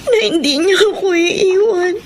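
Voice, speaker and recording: An actress playing a devoted, anguished wife in a Filipino radio melodrama, tearful and highly emotional. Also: female voice, a little slow, a little hiss or hum. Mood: tearful despair. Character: devoted, anguished young wife of missing soldier.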